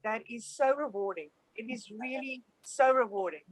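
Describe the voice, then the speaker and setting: This is a woman whose first language is Afrikaans, speaking in a slightly high voice. She is a mother answering interview questions, earnest and grateful, and she often restarts her sentences. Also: moderate pace, dry recording, poor audio. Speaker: homeschooling traveling mother.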